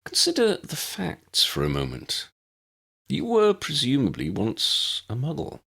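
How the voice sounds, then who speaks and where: A man voicing an erudite, unflappable old wizard in a fantasy audiobook, measured and pedantic with a needling edge. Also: medium pitch, huge pitch swings, vocal fry, pushed and bright, breathy edge, voice pushed up, heavily acted. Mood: calm, needling. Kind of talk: fan-fiction audiobook character voice.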